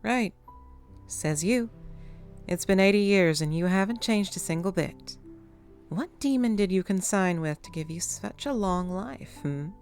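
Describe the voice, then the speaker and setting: A woman's voice: medium pitch, lively intonation, a little slow, a little mellow, faint music behind. A woman playing a dry, formal Grim Reaper who is easily ruffled, in a horror-comedy audio drama. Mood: wry.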